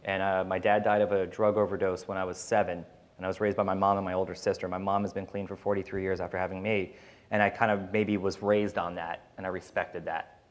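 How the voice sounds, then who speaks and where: A man's voice: sits low, little pitch movement, quick pace. A man speaking as a podcast host on a panel, telling personal stories in a casual, candid, rambling way with some swearing.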